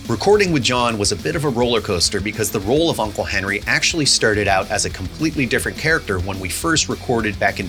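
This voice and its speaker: Bright, forceful low-voiced man hosting. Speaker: audio drama director and series host. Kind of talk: casual behind-the-scenes explanation to audience.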